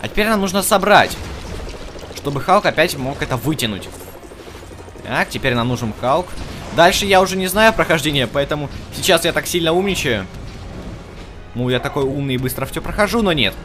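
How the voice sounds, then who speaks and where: A man's voice: a little high, expressive, brisk, pauses often, a bit bright. Chatty man doing casual gameplay commentary over music. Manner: chatty, talks to game characters and viewers. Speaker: gaming video host. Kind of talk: casual let's play commentary.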